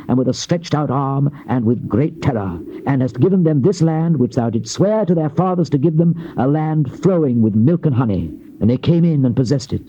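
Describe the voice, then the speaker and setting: An actor playing a fervent, solemn Old Testament prophet in a theatrical scripture drama, with grave, declamatory and measured archaic delivery. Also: male voice, fairly high pitch, mellow, husky. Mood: reverent, awed. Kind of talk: dramatized King James scripture reading, theatrical. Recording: muffled old cassette tape with hiss.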